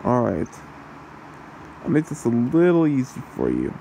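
Possessive demon husband, played by a man, ASMR roleplay: very slow, taunting, gravelly, faint noise behind. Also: mid-range voice, wildly swooping pitch, dark tone, exaggerated voice, low-quality recording. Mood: mocking.

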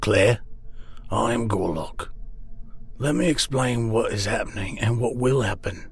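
A narrator voicing a courteous, refined boar-faced monster leader with a raspy voice and measured manner, in an audiobook story. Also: mid-range voice. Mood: courteous, composed. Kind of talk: audiobook character voice.

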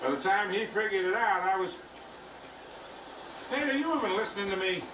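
An actor playing a gruff, wry older treasure hunter, the hero's world-weary mentor, in a video game. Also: male voice, high voice, lively intonation, brisk, distant mic, background noise. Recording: game audio played in an echoey room, music behind.

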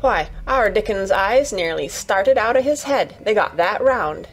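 A woman's voice: mid-range voice, expressive, unhurried, faint music behind. A woman voicing a cheerful young Yorkshire housemaid in a storybook, chatty and eager, with homely dialect and exclamations. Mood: earnest, chatty.

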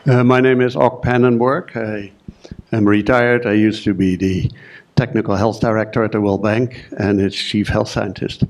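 An older man with a Dutch accent putting a formal, deliberately worded question from the audience at a conference. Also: fairly low pitch, steady tone. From Netherlands, grew up in Germany. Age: 60s or older. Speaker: audience questioner, retired health scientist.

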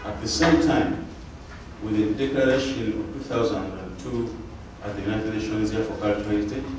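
An older Ugandan man speaks in a formal, measured way with a fairly low voice. He sits back from a table mic, and the room rings with heavy echo over steady hiss. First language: Luganda. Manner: measured, formal, halting with fillers. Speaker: panel speaker. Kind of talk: formal symposium address. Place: lecture hall panel table. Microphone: table mic on stand. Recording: echoey room, distant mic, background hiss.

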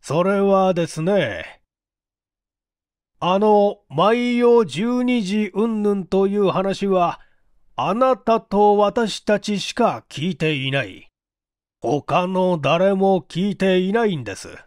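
A man's voice: high voice, animated, darkish tone, clear, resonant tone, dry recording, clean studio sound. A man voicing a shrewd, self-assured police chief who questions politely but emphatically, in a story reading. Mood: pressing, insistent. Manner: deliberate, emphatic, leading questioning. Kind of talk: acted dialogue in story reading.

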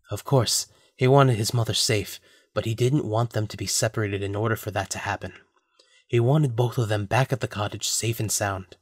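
A man's voice: fairly low pitch, dry recording, clean studio sound. A man narrating a scripted audio drama as a storyteller.

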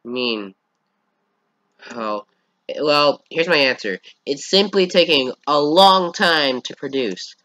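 A man's voice: a little high, animated, slow, bright, forceful tone. A fourteen-year-old boy, congested, giving a rambling, hesitant channel update with casual swearing. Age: teens. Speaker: channel owner.

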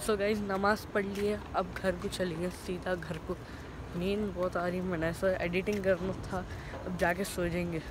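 A man's voice: sits high, level tone, normal speed. Teen boy vlogging over phone noise. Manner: chatty, addresses viewers as guys. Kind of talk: casual vlog narration. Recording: phone audio with loud background noise.

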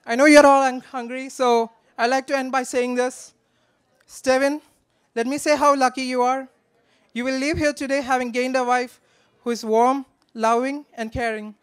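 A man gives a joking, affectionate, slightly halting wedding toast from notes into a handheld microphone. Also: very high voice, fairly bright tone, clear, resonant tone. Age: 20s. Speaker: best man, groom's brother. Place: wedding reception in a large draped marquee.

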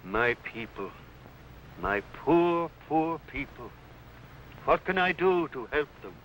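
Steadfast Saxon king, archaic and declamatory, sharp-edged voice, old 1950s TV soundtrack. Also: a little high, big pitch swings, slow, heavily acted. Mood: grave, troubled. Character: beleaguered noble medieval Saxon king, grave, steadfast. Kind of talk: 1950s TV historical drama acting. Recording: faintly noisy old film soundtrack.

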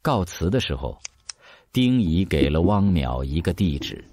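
A man's voice: sits low, very animated, unhurried, a little mellow, breathy edge, no echo. A man narrating a scripted audio drama in a dramatic storytelling style.

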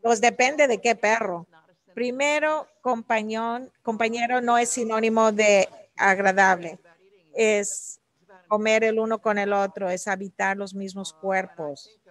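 A woman working as a live interpreter for an academic talk, delivering it in halting, separate phrases. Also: a little high, fairly even intonation.

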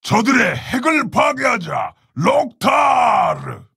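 An actor playing a proud, honorable orc warrior shaman in a video game, speaking in a gravelly, husky, solemn and commanding way. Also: very high-pitched, big pitch swings, slow, sharp tone, dry recording, shouting, clean studio sound, exaggerated voice. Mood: urgent battle commands. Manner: solemn, commanding, archaic formal phrasing. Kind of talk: video game character battle lines.

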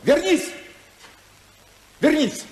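An actor playing an imperious, stern older tycoon father in a 1950s radio play, commanding and theatrical, with deliberate pauses. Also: extremely high pitch, very animated, full character voice. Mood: menacing. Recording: 1950s radio drama recording.

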